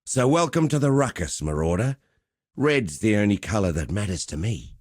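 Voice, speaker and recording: A man's voice: lowish voice, expressive, moderate pace, dry recording, studio quality. A man playing a swaggering, cheerful arena fight promoter in a video game, with theatrical, chummy, slangy patter. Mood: swaggering welcome. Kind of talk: video game character voice lines.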